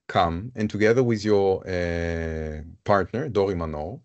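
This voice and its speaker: Low-voiced thoughtful man moderating a talk. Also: unhurried, dull audio. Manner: thoughtful, searching, repeats phrases. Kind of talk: live panel conversation.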